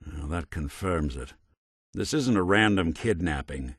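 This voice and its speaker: Low-voiced, wry android noir detective. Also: male voice, expressive, a little slow, a bit bright, slightly rough, dry recording, studio quality, heavily acted. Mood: investigative. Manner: wry, clipped hardboiled phrasing. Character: world-weary synthetic android private detective, tough, sharp, gruffly kind. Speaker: video game character. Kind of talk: noir detective game dialogue.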